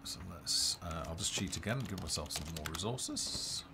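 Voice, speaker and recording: A man giving casual, low-key live commentary as a game developer, explaining features as he plays. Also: expressive, moderate pace, gravelly. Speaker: game developer presenting a livestream. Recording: music behind the voice.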